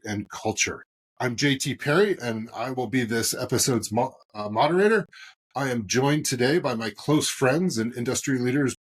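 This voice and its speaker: A man in his forties hosting a business podcast, talking in a rambling way with lots of fillers and animated emphasis. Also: mid-range voice, slightly rough. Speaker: podcast host and moderator.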